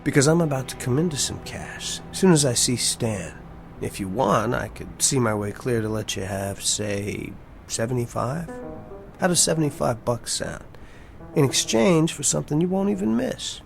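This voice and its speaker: An actor playing a scheming working-class garage attendant in a television drama, talking colloquially and coaxingly. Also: male voice, medium pitch, lively intonation, normal speed, gravelly, soft background music. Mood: sly, coaxing.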